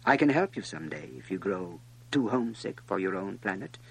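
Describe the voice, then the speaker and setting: A man's voice: low-pitched, expressive, a little fast, slightly rough, heavily acted, poor audio. A man voicing a cryptic, self-assured and pitying snake in a storybook reading, speaking solemnly in riddles.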